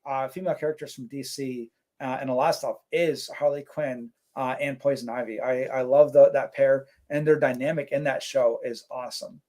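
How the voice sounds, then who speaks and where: Male co-host, mid-pitched, easygoing, reads chat aloud, filler-heavy. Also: normal speed, hardly any pauses. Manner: relaxed, rambling, many fillers. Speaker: co-host reading live chat. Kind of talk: casual live-stream chat.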